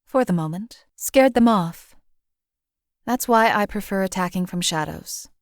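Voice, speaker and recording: A woman's voice: medium pitch, unhurried, no room sound, clean studio sound. A woman voicing a cold, detached assassin. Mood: menacing. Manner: calm, detached, clipped delivery. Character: cold, calculating female assassin companion. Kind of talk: game voice lines.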